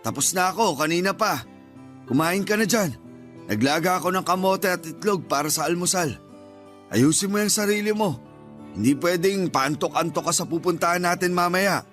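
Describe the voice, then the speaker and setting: A high-pitched Filipino uncle, superstitious and easily rattled. He nags and scolds in radio drama fashion, with faint music underneath. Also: normal speed, fairly bright tone. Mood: scolding, familiar. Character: superstitious, nervous, scolding uncle of a young man. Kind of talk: Tagalog radio drama acting.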